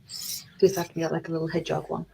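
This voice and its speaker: A woman's voice: low-pitched, completely flat delivery, fast talker, creaky, very noisy. A woman on a video call panel, chatting playfully and teasing. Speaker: panel guest. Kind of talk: casual chat on a video call.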